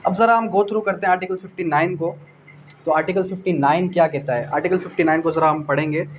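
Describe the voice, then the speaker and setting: Man, Pakistani accent, slightly high voice, didactic law lecturer, repetitive for emphasis, English terms mixed in, dull lo-fi recording. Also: fairly even intonation, fast. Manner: didactic, repeats phrases for emphasis; mixes English legal terms into speech. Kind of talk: explanatory lecture with rhetorical questions. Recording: dull lo-fi audio, faint background noise.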